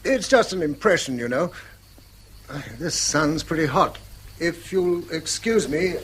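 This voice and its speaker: A man's voice: fairly high pitch, lively intonation, a little slow, fairly bright tone, a little hiss or hum. A man playing a wry, needling, unworldly brother in a radio drama. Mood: polite, offhand. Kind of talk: British stage-style radio drama acting.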